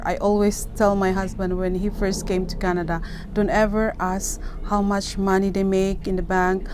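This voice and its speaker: Woman, filipino accent, middle-aged, earnest advice-giving, repeats points, medium pitch, faint background noise. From Philippines. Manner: earnest, repeats herself, keeps asking "okay?". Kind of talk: casual advice talk to camera.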